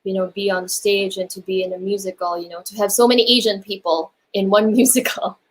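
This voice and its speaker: A woman who grew up in the Philippines and Hong Kong speaks as an interview guest. Her voice sits at a medium pitch. She talks about her stage work in an appreciative, conversational way. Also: fairly even intonation, fairly quick, rough recording. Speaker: musical theatre performer, interview guest. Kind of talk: casual interview chat.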